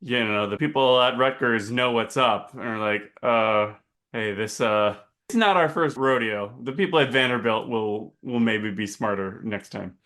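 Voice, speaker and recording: A man's voice: medium pitch, moderate pace, fairly bright tone. A man chatting casually as a panelist on a podcast. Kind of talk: casual chat.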